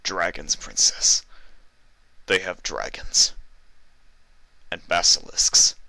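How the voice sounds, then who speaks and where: A royal guard pony in a storybook tale, acted by a man, badly frightened and out of breath. The delivery is shaky and halting, with a tense edge. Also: sits low, lively intonation, vocal fry, bright, forceful tone, no room sound, exaggerated voice, low-quality recording. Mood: fearful. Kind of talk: dramatised fan fiction reading.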